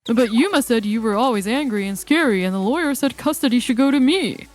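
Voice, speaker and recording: A cowardly, lazy divorced father played by a woman in a manga dub. The voice is high-pitched, whiny and flustered, given to pleading, over faint music. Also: expressive, brisk, natural voice.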